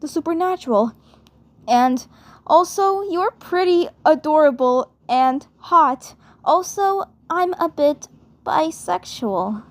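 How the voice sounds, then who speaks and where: A woman playing a bubbly, affectionate girl, speaking haltingly and repeating her words and phrases. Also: high voice, expressive, dry recording. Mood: excited, gushing.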